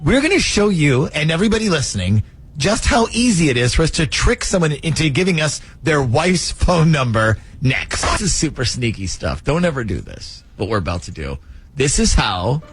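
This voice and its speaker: A man hosting a radio call-in segment, talking in a lively, joking, conspiratorial way. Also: fairly high pitch, normal speed, faint music behind. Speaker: radio show host. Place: radio studio.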